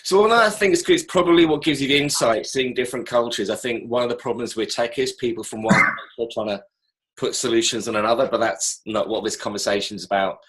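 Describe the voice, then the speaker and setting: A man's voice: mid-range voice, brisk, a bit bright, rough recording. Chatty male interviewer on a call. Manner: chatty, loose questions with asides. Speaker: interviewer, host. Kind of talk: casual interview over a call. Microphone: call recording.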